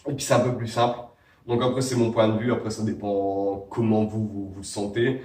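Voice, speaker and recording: A man's voice: lowish voice, flat delivery, fairly quick, darkish tone. A young man with a cold, rambling through a casual how-to tutorial with many fillers and restarts. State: has a cold. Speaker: vape tricks tutorial host. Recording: echoey room, mic at a distance.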